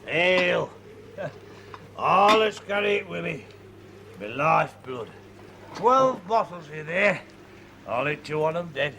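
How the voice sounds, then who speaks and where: An actor playing a drunk, lonely Newcastle football fan with a Geordie accent, rambling and indignant in a radio drama. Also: male voice, fairly high pitch, lively intonation, slow, vocal fry, long pauses, pushed and bright, full character voice, rough recording.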